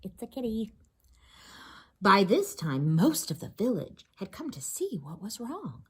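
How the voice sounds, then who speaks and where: Husky-voiced woman reading aloud, very expressive. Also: mid-range voice, a little slow. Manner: expressive, explains and asks questions to young listeners. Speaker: teacher reading a story to children. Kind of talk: animated read-aloud of a children's story with asides.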